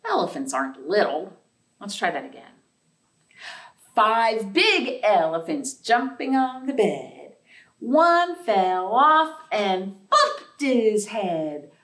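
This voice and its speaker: A woman leads a storytime for young children at a very unhurried, drawn-out pace. Her delivery is sing-song and full of pitch swings, with questions put to the little listeners. She is heard in an echoey room. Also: sing-song, fairly bright tone. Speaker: library storytime host. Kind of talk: children's read-aloud storytime with counting rhymes. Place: echoey indoor room, away from the mic. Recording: echoey room, distant microphone.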